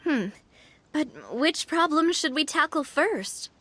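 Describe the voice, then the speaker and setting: A woman voicing a kind, good-natured young heroine in an animated video game. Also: high voice, slow pace, a little hiss or hum, full character voice. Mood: thoughtful.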